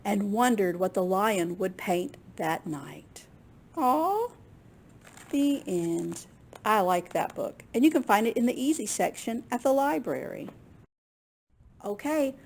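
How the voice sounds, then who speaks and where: A woman reading a picture book aloud to children in an expressive, unhurried way, with small asides to her listeners. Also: medium pitch, creaky, breathy edge. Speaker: children's storytime reader at a library.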